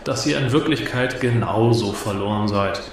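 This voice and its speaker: A man's voice: lowish voice, moderate pace, lightly acted. Guy acting a cocky sneering stage mocker, radio drama, big echo, crowd around him. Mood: scornful. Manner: sneering, mocking, theatrical stage delivery. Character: arrogant male cabaret provocateur insulting his audience. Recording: echoey hall with audience crowd.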